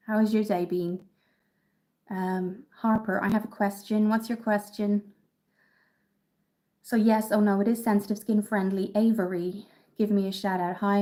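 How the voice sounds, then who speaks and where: A woman's voice: mid-range voice, mellow. A woman from Dublin chatting casually on a beauty livestream, upbeat and full of ums as she answers viewer comments. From Ireland, Dublin. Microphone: phone camera.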